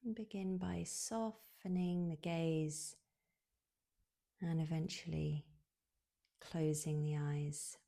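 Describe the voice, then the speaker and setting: A woman guiding a relaxation meditation in a soothing, unhurried way, leaving long pauses, with soft, breathy phrase endings. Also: low-pitched, fairly even intonation. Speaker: yoga nidra guide.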